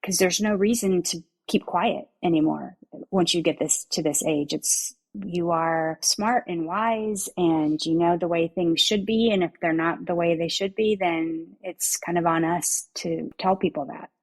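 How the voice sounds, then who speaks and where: A soft-spoken woman in her fifties with a quiet voice, talking casually and wryly as an interview guest. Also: lowish voice, steady tone, a little slow. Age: 50s. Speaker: author, guest being interviewed.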